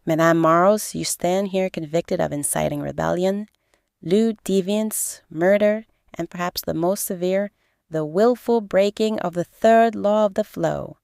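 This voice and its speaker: A woman's voice: on the low side, gravelly, darkish tone, clear, resonant tone, dry recording, clean studio sound. A woman playing a stern, stiff fantasy arbiter. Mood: stern, formal. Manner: formal, stiff, deliberate pronouncement. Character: stiff, stone-faced male arbiter pronouncing a death sentence. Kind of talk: fantasy radio drama.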